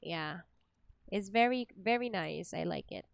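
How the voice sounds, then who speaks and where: Slow-paced Filipino woman livestreamer. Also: fairly low pitch, lively intonation, creaky, smooth. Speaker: livestreamer reacting to a game. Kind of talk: casual reaction commentary over gameplay. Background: game music and dialogue.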